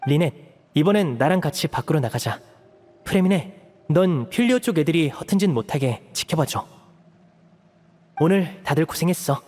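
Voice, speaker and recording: A man voicing a composed, protective young elder brother in an anime-style game, explaining things in a measured, serious way. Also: a little high, lively intonation, a little mellow. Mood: resolute. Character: composed young magician, protective eldest brother, earnest strategist.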